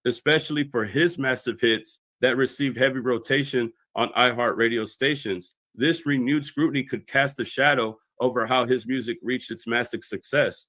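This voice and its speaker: A man's voice: medium pitch, normal speed, hardly any pauses, fairly bright tone, no echo. Dry, close-sounding male commentator. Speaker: video commentator. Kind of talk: scripted explanatory commentary.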